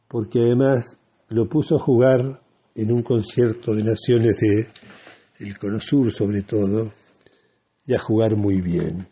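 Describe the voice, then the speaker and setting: Male Argentine radio commentator: husky, unhurried delivery, emphatic and opinionated, deliberate phrasing. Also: lowish voice, dark tone. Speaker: radio host.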